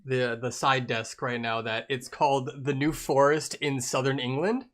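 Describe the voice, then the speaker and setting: A man hosting a casual book discussion, talking in an animated, jokey, rambling way. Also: mid-range voice, moderate pace. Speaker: book club podcast host.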